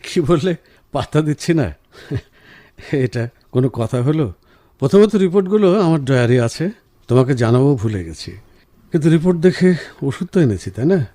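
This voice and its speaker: A man playing a caring, reassuring husband in a radio drama, speaking in an earnest, measured way. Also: a little high, animated, dark tone, no room sound, clean studio sound, close to an everyday voice. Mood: reassuring, firm. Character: caring, reassuring husband, senior professional, firm but gentle. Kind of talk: Bengali radio drama acting.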